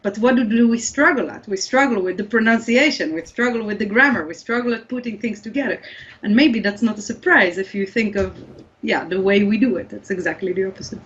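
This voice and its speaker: A woman's voice: medium pitch, fairly quick, fairly bright tone, slight background noise. Native Hebrew-speaking woman, dull lo-fi audio. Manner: thoughtful, many restarts and fillers. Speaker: guest researcher. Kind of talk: explanatory interview answers.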